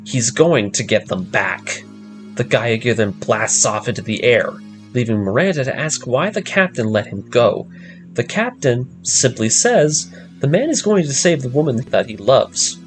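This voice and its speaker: A man's voice: mid-range voice, very animated, measured pace, faint music behind. A man reading a scripted recap of an anime story as the narrator of a breakdown series.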